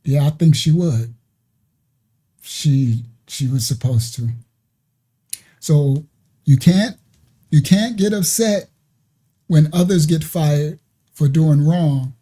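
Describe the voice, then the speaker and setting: Husky, mellow-voiced host commentating. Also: medium pitch, takes it slowly, long pauses. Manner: talks loosely, with repeated "right?" and "you understand?" asides. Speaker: online ministry host. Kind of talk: casual commentary over video clips.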